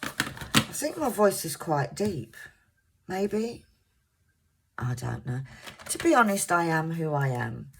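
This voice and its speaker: A woman in her fifties, originally from Scotland, with a soft voice and a mixed English accent, chatting to viewers in a casual, rambling way and sometimes stumbling over words. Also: sits low, sing-song, slow, gravelly, pauses often, a little hiss or hum. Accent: English, mixed southern. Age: 50s. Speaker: crafting vlogger.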